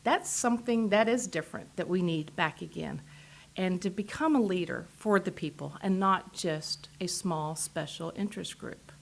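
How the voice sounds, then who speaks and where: A woman's voice: on the low side, normal speed, creaky. A woman from Texas with a Texan accent, answering interview questions as a political candidate in an earnest way. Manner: earnest, repeats short affirmations.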